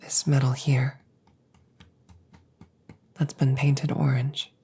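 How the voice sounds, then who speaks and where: A woman voicing a gentle, protective damaged android soldier in a scripted ASMR roleplay, speaking breathily, often in a whisper, with slow, careful, formal phrasing. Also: rumbling low, little pitch movement, husky, heavily acted. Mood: calm, reassuring.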